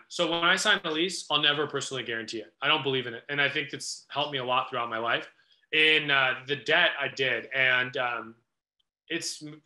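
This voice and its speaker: American man, thirties, interview guest, slightly high forceful voice, roomy video-call sound. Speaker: guest restaurateur. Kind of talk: conversational Q&A answers. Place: small indoor office. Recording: echoey room on video call.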